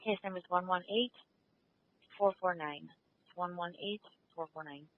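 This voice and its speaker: A man's voice: sits high, normal speed. A male police officer calling in tersely over a scanner radio. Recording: tinny phone-like scanner radio transmission.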